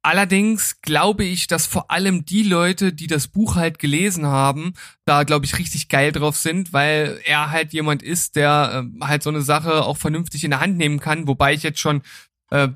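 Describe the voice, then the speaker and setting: A man's voice: a little high, steady tone, normal speed, a bit bright, no echo, studio quality. A man hosting a casual film chat, easygoing and hesitant with fillers. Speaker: host.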